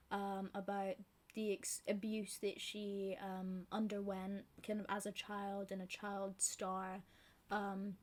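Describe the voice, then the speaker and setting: A young woman from Scotland with a softened Glaswegian accent, chatting casually and rambling through a book vlog. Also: mid-range voice. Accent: softened Glaswegian Scottish. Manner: chatty, rambling, self-deprecating, many fillers. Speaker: book vlogger. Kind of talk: casual chat to camera.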